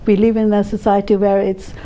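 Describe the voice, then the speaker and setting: A woman's voice: medium pitch, level tone, brisk, dark tone. A woman speaking as a panel guest in a conversational discussion.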